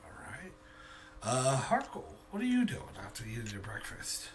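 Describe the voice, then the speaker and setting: A man narrating a tabletop game as game master, halting and searching for words as he improvises. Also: mid-range voice, wildly swooping pitch. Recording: distant mic with faint noise.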